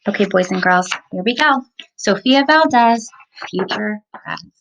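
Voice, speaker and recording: A woman's voice: medium pitch, lively intonation, measured pace, a bit bright. Woman reading a storybook, dull recording. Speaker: mother reading a picture book aloud with a child. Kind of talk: children's storybook read-aloud.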